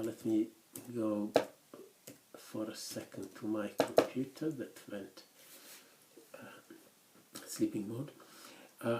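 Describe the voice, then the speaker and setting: A man lecturing university students in English, which is his third language, speaking haltingly with many restarts and pauses. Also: medium pitch, mellow. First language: not English; English is his third language after Swedish. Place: lecture room. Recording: echoey classroom recording.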